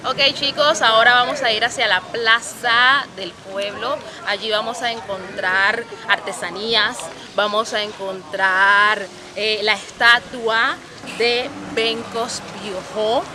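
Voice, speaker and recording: A woman's voice: fairly high pitch, slow pace, a crowd in the background. A woman from Palenque, hosting a travel vlog and speaking straight to her viewers. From San Basilio de Palenque, Colombia. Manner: lively, addresses viewers directly. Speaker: travel vlogger host. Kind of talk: casual travel vlog presenting. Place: outdoors in a village. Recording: outdoor recording with loud music and street noise.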